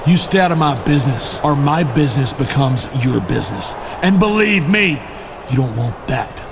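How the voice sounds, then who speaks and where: A man's voice: mid-range voice, animated, moderate pace. An actor voicing a smug, menacing male wrestler who taunts sarcastically in a video game cutscene. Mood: threatening. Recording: clear background noise.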